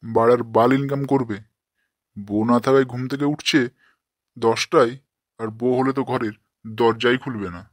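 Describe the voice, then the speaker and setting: A man voicing a short-tempered, foul-mouthed village father in a comic cartoon, gruff and scolding. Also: medium pitch, fairly even intonation, normal speed, long pauses, dry recording, clean studio sound, close to an everyday voice. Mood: grumbling, mocking.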